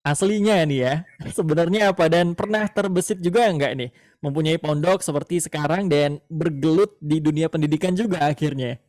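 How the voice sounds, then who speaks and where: A man hosts a radio interview in a fairly high-pitched voice. He builds his questions loosely and adds frequent verbal nods. The sound is dry and close, with no room around it. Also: normal speed, darkish tone, no room sound. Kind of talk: interviewing a guest on air.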